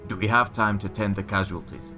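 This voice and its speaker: A very low-voiced, grim captain of a fantasy militia, acted by a man. He is wounded, bitter and stubborn. His speech is terse and military, with a forceful, bright edge. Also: steady tone, a little fast, faint music behind. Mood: grim, troubled. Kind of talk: fantasy audio drama acting.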